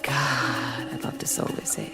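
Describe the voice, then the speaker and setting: An actress playing a wry, worldly galactic detective, narrating a noir radio drama in a deadpan, musing manner. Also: female voice, extremely low pitch, measured pace. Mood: musing, dreamy. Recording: music bed under the voice.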